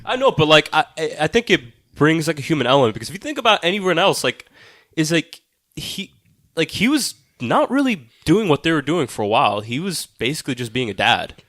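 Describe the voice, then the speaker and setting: A man's voice: fairly high pitch, very animated, brisk, fairly bright tone, dry recording, clean studio sound. A man co-hosting a film podcast, talking casually with swearing, emphatic and argumentative, often repeating himself to press his point. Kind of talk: casual, profane film discussion.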